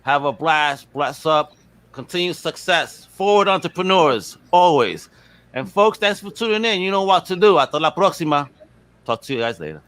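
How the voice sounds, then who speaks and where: A man's voice: a little high, lively intonation, moderate pace, fairly bright tone, no echo. A man hosting a casual podcast interview in an enthusiastic, slangy way. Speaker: podcast host.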